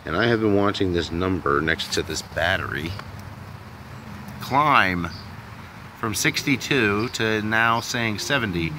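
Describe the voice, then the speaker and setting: A man's voice: lowish voice, takes it slowly, pushed and bright, slight background noise. A man vlogging outdoors in a sunny yard, thinking aloud in a rambling, unsure way while filming on a phone. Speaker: DIY vlogger. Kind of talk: casual thinking-aloud commentary.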